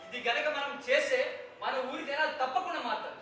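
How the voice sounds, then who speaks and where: A man's voice: very high voice, fast, sharp tone. Man acting a defiant young village director. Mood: rousing. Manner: earnest, defiant, rousing. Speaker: stage actor. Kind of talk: live stage play acting. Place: theatre stage. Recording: echoey hall with music, distant mic.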